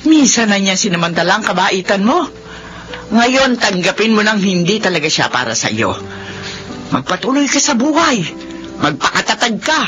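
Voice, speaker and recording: A woman's voice: medium pitch, big pitch swings, normal speed, fairly bright tone, faint music behind. A woman playing a stern, blunt older aunt giving worried advice in a Filipino radio drama. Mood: stern, reproachful. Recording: dull lo-fi audio with background noise.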